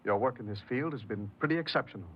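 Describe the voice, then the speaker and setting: An actor playing a firm, patient parole official in a 1950s American radio drama, measured and reasoning with authority. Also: male voice, medium pitch, slight background noise, natural voice. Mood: firm, reassuring. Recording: muffled old radio recording.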